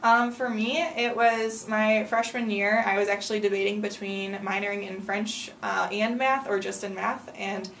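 A woman's voice: mid-range voice, level tone, moderate pace, few pauses, fairly bright tone, echoey room, distant mic, a little hiss or hum, low-quality recording. A young woman in her twenties, a student teacher, answering interview questions earnestly with frequent ums. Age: 20s.

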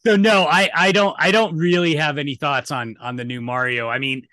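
A man with a bright, slightly high voice talks casually as a podcast co-host. He is opinionated and emphatic, swears freely, and the sound is very dry and close. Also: fairly even intonation, normal speed, dry recording. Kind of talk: casual film discussion, opinion rant.

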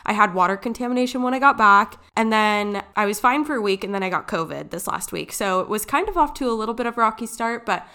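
A woman in her late twenties co-hosting a podcast, chatting in a relaxed and supportive way. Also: medium pitch, fast talker, hardly any pauses, smooth. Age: 20s. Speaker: podcast co-host. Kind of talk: casual chat.

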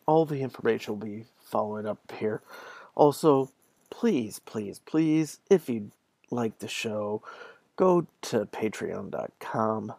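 A man with a noticeably creaky, gravelly voice talks as a solo podcast host. His delivery is casual and conversational, speaking straight to the listener. The recording is close and dry, with very little room sound. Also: lowish voice, lively intonation, slow, dark tone, no room sound. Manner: conversational, addresses listeners directly.

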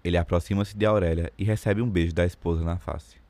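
A man's voice: low-pitched, steady tone, fast, warm tone, dry recording. A man giving scripted literary narration for an audio drama.

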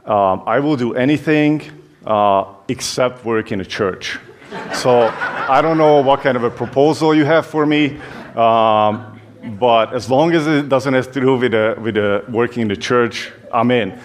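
A man's voice: medium pitch, a little slow. A young man from Hungary with a Hungarian accent, giving a chatty, self-deprecating church talk full of fillers and restarts. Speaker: youth pastor. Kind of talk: church talk, personal story.

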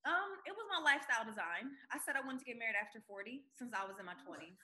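A woman in her forties, a guest entrepreneur telling her story in an emphatic, animated way on a podcast interview. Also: fairly high pitch, very fast. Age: 40s.

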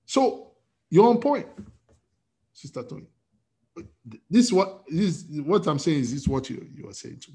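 A man's voice: on the high side, big pitch swings, measured pace, pauses often, mellow. A male pastor leading an interactive sermon over a video call, talking with many repeated restarts and emphatic rising bursts.

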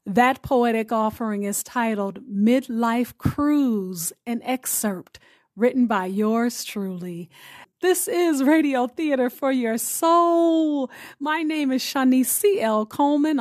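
A woman hosting a radio storytelling podcast, phrasing things expressively and deliberately. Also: a little high, darkish tone, no echo, studio quality. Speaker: podcast host. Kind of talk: radio show hosting and sign-off.

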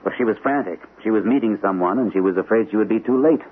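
An actor playing an anxious, hesitant army captain recovering from shell shock in an old-time radio drama. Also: male voice, lowish voice, lightly acted. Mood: nervous, hesitant. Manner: hesitant, halting, nervous. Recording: muffled, narrow-band, noisy old radio recording.

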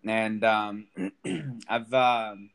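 A man's voice: lowish voice, drawn-out words, a bit bright. A young man from Sacramento, a stand-up comedian, chatting casually as a podcast guest, self-deprecating and laughing often. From Sacramento, United States.

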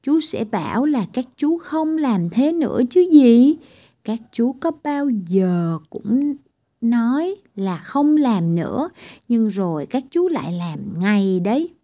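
A woman's voice: a little high, big pitch swings, takes it slowly, dark tone, exaggerated voice. A woman voicing a strict, bossy tiny girl doctor in a children's storybook reading, giving firm scolding commands. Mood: stern.

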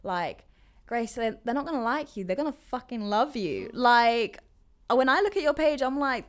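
A woman's voice: a little high, expressive, normal speed. A woman hosting a casual interview chat, encouraging and supportive toward her guest. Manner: warm, encouraging. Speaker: host.

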